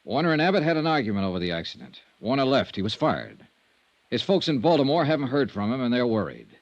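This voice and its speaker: An actor playing a steady, probing insurance investigator in a 1950s radio detective drama, with terse, measured questions. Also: male voice, medium pitch, no echo, natural voice. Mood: worried, earnest. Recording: dull vintage radio recording.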